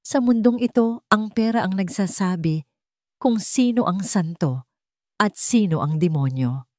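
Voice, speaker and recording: A woman's voice: mid-range voice, expressive, measured pace, a little mellow, no echo, studio quality, close to an everyday voice. A woman with a Filipino accent voicing a wealthy, cruel older male villain in an audio story, mocking and self-satisfied. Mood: mocking menace. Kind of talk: audio story character acting.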